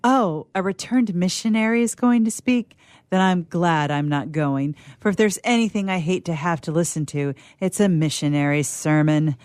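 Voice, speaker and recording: A woman's voice: fairly low pitch, moderate pace, a little mellow, clean tone, no room sound, natural voice. A young actress playing a petulant, self-absorbed young woman, complaining theatrically to her mother in an old-fashioned radio theatre monologue. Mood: scornful.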